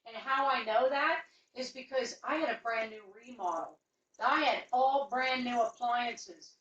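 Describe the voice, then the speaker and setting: A woman's voice: a little high, a little slow, fairly bright tone, reverberant, distant mic. An indignant, insistent woman recounting a complaint as a customer on a recorded phone call, speaking emphatically. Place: indoors in a room, away from the mic.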